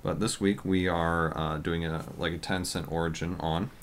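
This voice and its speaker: A man co-hosting a casual podcast, talking loudly and rambling with lots of fillers. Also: sits low, steady tone, moderate pace. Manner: loud, rambling with many fillers. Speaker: podcast co-host.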